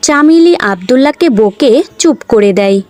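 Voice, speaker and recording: A woman's voice: high voice, lively intonation, moderate pace, a little mellow, no room sound. A woman narrating a scripted children's cartoon story as the storyteller.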